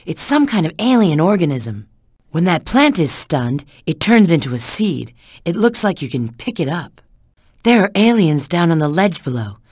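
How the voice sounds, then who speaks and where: A woman's voice: lowish voice, big pitch swings, moderate pace, darkish tone, husky, dry recording, studio quality. A woman voicing a sly, flirtatious, confident treasure-hunting agent in a video game, giving hints and callouts with teasing assurance. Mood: curious, alert.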